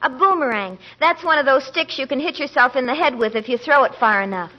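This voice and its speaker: A guileless, scatterbrained young lady in a forties radio comedy. She chatters eagerly in a high, girlish voice. The sound is dull and dated. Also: lively intonation, fairly quick. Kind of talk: 1940s radio comedy acting. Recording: muffled old 1940s radio recording.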